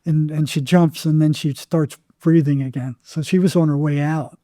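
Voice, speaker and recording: Older man, very dark mellow voice. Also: fairly high pitch, steady tone, dry recording, studio quality. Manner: halting, repeats words, acts out remembered exchanges. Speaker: psychotherapist guest. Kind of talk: conversational storytelling in an interview.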